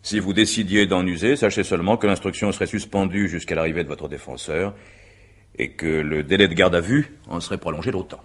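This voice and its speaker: An actor playing a courteous, methodical examining magistrate in a radio mystery drama, speaking in formal, measured, legalistic phrases. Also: male voice, sits low. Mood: formal, probing.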